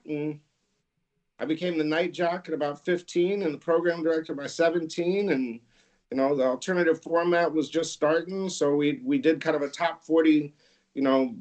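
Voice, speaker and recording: Middle-aged guy from california, kinda high voice, easygoing rambling radio stories. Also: moderate pace, muffled recording. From Santa Barbara, California. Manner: laid-back, laughing, rambling anecdotes. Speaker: radio DJ guest. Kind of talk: casual interview storytelling.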